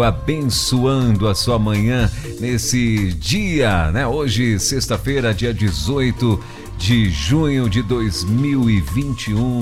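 Chatty Brazilian radio host, faint music. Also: male voice, medium pitch. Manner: chatty, many tag questions. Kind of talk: morning radio chat.

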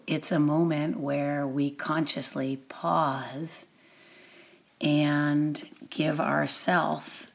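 A woman giving a guided meditation introduction as an instructor, with deliberate, measured phrasing and long pauses. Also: sits low, creaky.

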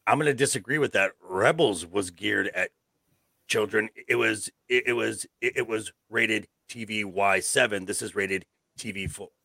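A man's voice: fairly low pitch, moderate pace, creaky, bright, forceful tone, breathy edge, dry recording. A man in his fifties co-hosting a podcast, arguing points casually and repeating phrases for emphasis. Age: 50s. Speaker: podcast co-host. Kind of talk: casual panel chat reviewing a show.

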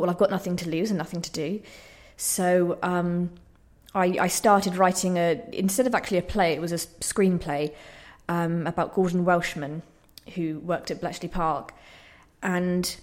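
A young woman speaks as a guest on a radio interview. Her voice sits a little low, and she tells her own story casually, with modest good humour and slight nerves. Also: moderate pace. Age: young adult. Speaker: interview guest, playwright and actor. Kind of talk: casual, chatty personal storytelling. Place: radio station.

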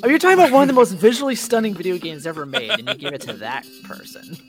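A man's voice: sits high, big pitch swings, fast talker, over music. A man co-hosting a podcast in casual banter about games and film, giving his opinions in a joking way. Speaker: podcast co-host.

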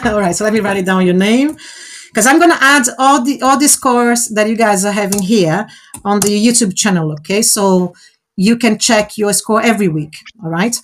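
A woman's voice: medium pitch, moderate pace. A woman whose first language is Portuguese, hosting a live online exercise, encouraging participants and checking in often with "okay?" and "all right?". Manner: encouraging, coaching, frequent check-ins like "okay?". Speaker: host running a fluency exercise.

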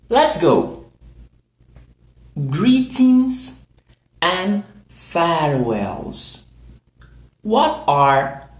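A Brazilian man with a Portuguese accent teaches basic English phrases at a crawl, drawing out each word. His voice rises and falls a lot as he drills learners through repetition. The sound has a noticeable room echo. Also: on the high side. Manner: very deliberate, repeats words for students to echo. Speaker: podcast host and English teacher. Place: recorded on a livestream.

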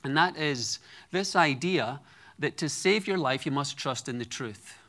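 A man's voice: a little high, animated, unhurried, a bit bright. A Scottish man in his forties preaching a sermon to a congregation, earnest and personal with light humor. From Scotland. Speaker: guest preacher.

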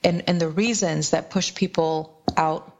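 Guatemala-born woman, low and measured. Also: steady tone, darkish tone, lo-fi sound. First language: Spanish. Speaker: guest, preacher. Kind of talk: reflective interview answers.

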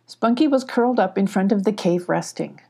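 A woman's voice: medium pitch, animated, a little fast, gravelly, mellow, slightly rough. An older woman reading a scripted children's story as a storyteller.

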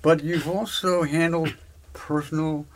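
A man with a husky voice, talking conversationally as an interviewer and often interjecting in an animated way. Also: on the high side, a little slow, rough recording. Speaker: interviewer, host.

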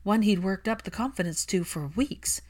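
A woman narrates fiction in a medium-pitched voice. Her delivery is that of a scripted audiobook, telling a story in prose. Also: lively intonation, normal speed, a little mellow.

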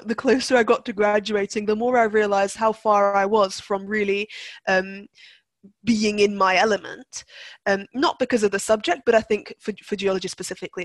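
A woman's voice: mid-range voice, dry recording. A young woman from Turkey, a guest in a conversational interview, speaking reflectively with frequent restarts and fillers. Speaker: guest, NLP practitioner.

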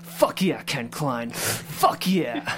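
A man's voice: medium pitch, animated, extremely slow, fairly bright tone, slight background noise. A man voicing a vain, volatile, desperate bodybuilder in a comedic script read, intense and profane. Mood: euphoric.

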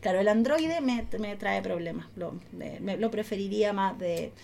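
A woman podcast host in casual conversation. Her medium-pitched voice is candid and chatty, often circling back over a phrase. There is slight background noise. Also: moderate pace, vocal fry, darkish tone.

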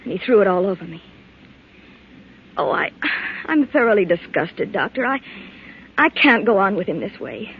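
An actress playing a distressed wife in old-time radio drama, soothing and pleading in a melodramatic way. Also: female voice, medium pitch, big pitch swings, moderate pace, gravelly, pauses often. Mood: weary, dismayed. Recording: noisy, muffled old radio recording.

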